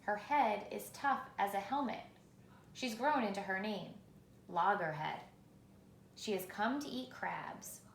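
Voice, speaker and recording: A woman reading a children's picture book aloud in a measured, expressive storytelling manner, with pauses for effect. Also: mid-range voice, vocal fry. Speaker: reader. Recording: roomy echo, faint background noise.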